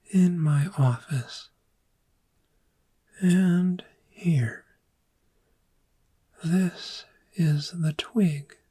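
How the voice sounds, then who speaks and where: A woman playing a gentle, patient dragon therapist in a soft-spoken ASMR roleplay, with a breathy, whispery, measured and reassuring delivery. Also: low-pitched, exaggerated voice.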